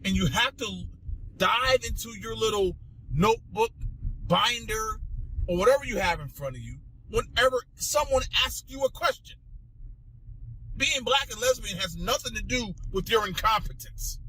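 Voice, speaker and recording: A man's voice: sits high, expressive, moderate pace, bright, forceful tone, slight background noise, poor audio. A man hosting a political talk show, speaking straight to listeners in an emphatic, indignant monologue full of repetition and rhetorical questions. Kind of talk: opinionated political commentary monologue addressed to listeners.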